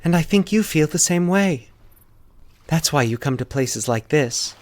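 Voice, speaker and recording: A man's voice: on the high side, animated, measured pace, no room sound, pitched above the performer's own voice. A man voicing a sinister, coy woman who coos and hisses with teasing menace in a horror story. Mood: eager, pleading. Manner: cooing, hissing, teasing menace. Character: predatory woman, coy, sinister, with monstrous sharp teeth.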